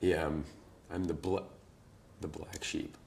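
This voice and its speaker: A man speaks wryly and offhandedly in a very low voice. His tone is gravelly, with heavy fry. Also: flat delivery, slow, a little mellow. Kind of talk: documentary interview.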